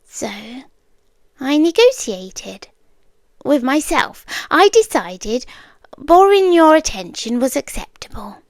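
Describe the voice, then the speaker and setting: A British woman playing a polite, obsessive yandere intruder in an ASMR roleplay, soft-spoken and often slipping into whispers, coolly teasing. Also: high voice, sing-song, takes it slowly, dry recording, full character voice. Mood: amused, insistent. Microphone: close microphone, dry.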